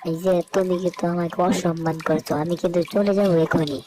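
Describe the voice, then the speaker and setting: Woman as grumbling Bangladeshi cartoon husband, lowish. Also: fairly even intonation, quick pace, background noise, close to an everyday voice. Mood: offended. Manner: complaining, earnest. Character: aggrieved, henpecked village husband out shopping. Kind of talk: amateur comic cartoon dubbing. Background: loud music.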